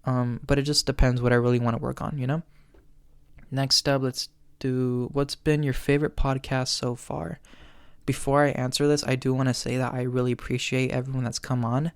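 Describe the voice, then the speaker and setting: A man in his twenties hosting his podcast, answering listener questions on his own in a chatty, earnest way, with lots of ums and quick laughs. He says his voice is often tired and cracks. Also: mid-range voice, level tone, clean tone, no room sound. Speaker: podcast host. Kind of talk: solo Q&A chat.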